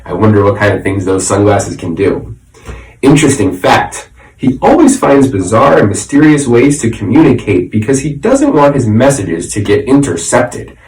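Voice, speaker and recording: Animated man reading aloud, roomy echo. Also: on the low side, moderate pace. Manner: animated, dramatic storytelling. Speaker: teacher reading a children's book aloud. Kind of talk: expressive read-aloud with explanatory asides. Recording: roomy echo, distant microphone.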